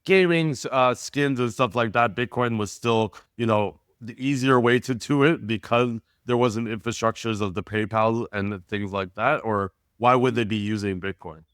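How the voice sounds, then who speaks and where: A man's voice: mid-range voice, normal speed, hardly any pauses, a bit bright, clear, resonant tone, dry recording, studio quality. A man hosting a podcast interview, asking long multi-part questions. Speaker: podcast host.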